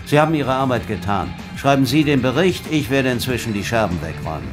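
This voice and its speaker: Guy as gruff police boss in radio play, medium pitch, brusque, faint music. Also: lively intonation, brisk, close to an everyday voice. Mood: brusque, firm. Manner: brusque, formal, firm. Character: gruff authoritative male police chief, secretly fair. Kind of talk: German audio drama acting.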